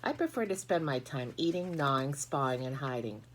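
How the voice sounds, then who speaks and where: A woman's voice: a bass voice, animated, moderate pace, a little hiss or hum. A woman voicing a sour, selfish, gluttonous male rat in a storybook, with a disdainful manner. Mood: sour, smug. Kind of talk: storybook character voice.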